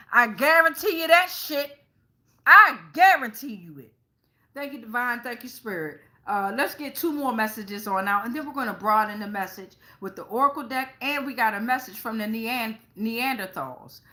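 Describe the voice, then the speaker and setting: A woman's voice: sits high, lively intonation, moderate pace, sharp tone. A woman hosting an improvised tarot reading, talking colloquially to viewers with frequent check-in tags and some swearing. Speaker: host, tarot reader.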